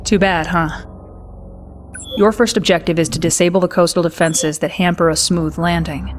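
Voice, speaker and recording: A fairly low female voice from an actress as a brisk intelligence officer in a strategy game. She briefs crisply with a wry, confiding edge over faint music. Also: steady tone, close to an everyday voice. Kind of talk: scripted mission briefing.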